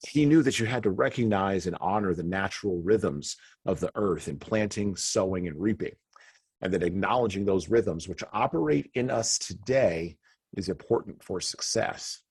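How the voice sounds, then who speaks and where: Male podcast host, deep and breathy, reflective talker. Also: moderate pace, a little mellow, no room sound. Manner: reflective, laughs between points. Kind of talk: conversational podcast discussion.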